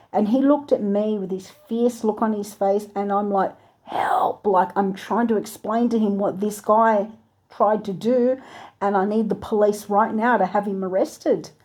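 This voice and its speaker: Soft-toned, husky woman telling a dream. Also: medium pitch. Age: adult with a three-year-old daughter. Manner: earnest, halting, searching for words. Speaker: channel host recounting a dream. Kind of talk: personal dream testimony.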